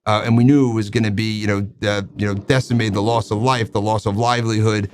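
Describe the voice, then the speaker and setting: A man hosting an interview, asking questions in a halting way with repeated words and many fillers. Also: on the low side, level tone, a little hiss or hum. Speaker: interview host. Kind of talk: conversational interview.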